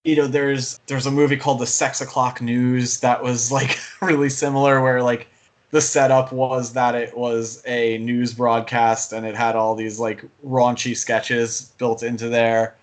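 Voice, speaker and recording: A man's voice: mid-range voice, level tone, unhurried. A man co-hosting a podcast, talking casually about movies in an enthusiastic, rambling way. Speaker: podcast co-host and film fan.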